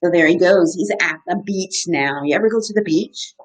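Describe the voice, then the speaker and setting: A woman in her thirties, an educator reading to children in an animated, questioning way and explaining as she goes. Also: lowish voice, fairly quick. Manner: animated, asks the listeners simple questions. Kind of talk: interactive children's story time, reading and explaining.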